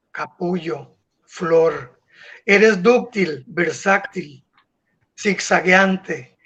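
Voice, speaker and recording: A man slowly reciting a poem in measured lines at an online festival. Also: high-pitched, a bit bright. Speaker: poet reciting. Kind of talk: poetry recitation. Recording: dull lo-fi online call audio.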